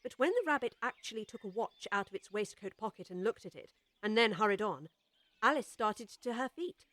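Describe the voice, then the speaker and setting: A woman narrating a children's storybook audiobook with expressive, scripted storytelling. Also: medium pitch, brisk, no room sound.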